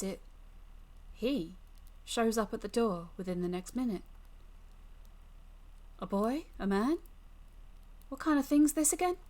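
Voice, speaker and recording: A woman streamer reading a visual novel aloud as dramatic first-person narration, expressive and deliberate. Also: medium pitch. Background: music.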